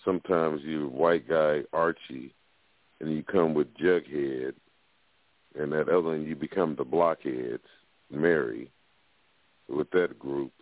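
A man's voice: a bass voice, slow, pauses often, no room sound. A man co-hosting a talk show with mocking, drawling, profane banter. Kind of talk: teasing banter. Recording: dry, tinny phone line.

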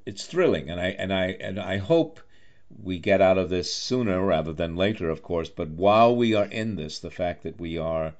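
Man, low deep voice, effusive interviewer, halting repeated phrasing, dull lo-fi sound. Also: expressive, darkish tone. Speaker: interviewer, host; actor and director. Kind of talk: warm conversational interview. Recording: dull lo-fi online-call sound.

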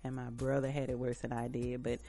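A woman's voice: very deep voice, level tone, a little fast, warm tone. A woman hosting a casual self-care talk, chatting directly to her listeners in an encouraging, repetitive way, a little stuffy-nosed from allergies. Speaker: host.

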